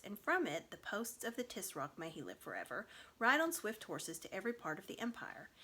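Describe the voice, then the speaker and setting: A woman's voice: medium pitch, fairly bright tone. A woman voicing a proud, cool young noble girl telling her tale formally in a storybook read aloud. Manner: formal, haughty, storytelling cadence.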